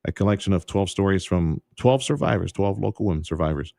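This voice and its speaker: A man hosting a radio talk show, speaking in a relaxed, folksy way with stumbling restarts. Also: sits low, fast, creaky, dry recording, studio quality. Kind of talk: casual radio interview. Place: radio studio. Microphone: studio microphone.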